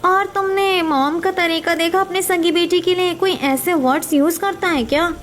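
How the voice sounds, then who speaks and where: A woman's voice: very high-pitched, fairly even intonation, moderate pace, a bit bright, slight background noise. A woman voicing a concerned, kindly young newlywed daughter-in-law in a cartoon family drama. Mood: worried.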